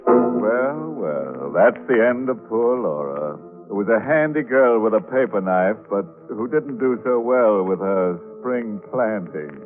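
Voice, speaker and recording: Low-voiced macabre radio horror host. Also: male voice, very animated, a little slow. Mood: ghoulishly amused. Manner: wry, mock-earnest, chuckling. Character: gleefully macabre horror show host, punning. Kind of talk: 1940s radio horror hosting. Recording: old tinny radio recording. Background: loud music.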